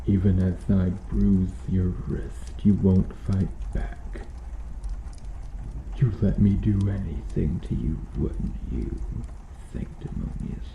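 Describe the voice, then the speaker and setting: A man playing a cruel, vengeful medieval knight in an ASMR roleplay, speaking in formal archaic phrases with menacing, deliberate intensity. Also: deep voice, creaky, heavily acted. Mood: menacing, demanding. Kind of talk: ASMR roleplay, slow dramatic monologue. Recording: muffled, roomy sound with background noise.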